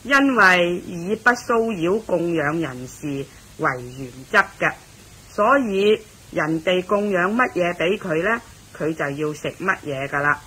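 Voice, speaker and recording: A woman with a Hong Kong Cantonese accent introduces a radio story, explaining it in a measured, deliberate way. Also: lowish voice, animated, sharp tone, husky, rough recording. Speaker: narrator and host of a radio story series.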